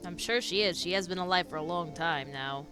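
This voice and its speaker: A woman's voice: on the low side, a little fast. A woman streamer giving casual, sweary reactions to a game in brief interjections. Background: game music.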